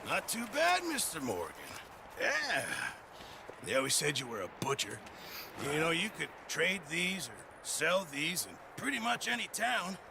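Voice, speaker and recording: An actor playing a jovial, rum-loving camp cook with a gravelly voice in a video game cutscene. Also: male voice, on the high side, huge pitch swings, a little slow, noisy background, exaggerated voice. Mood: chummy.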